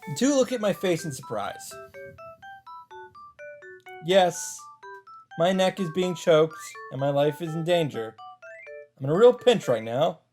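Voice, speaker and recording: Visual novel commentator, mid-pitched voice, story read-through with reactions, music underneath. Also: big pitch swings, unhurried, long pauses, darkish tone. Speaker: video game commentator reading aloud. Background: game music.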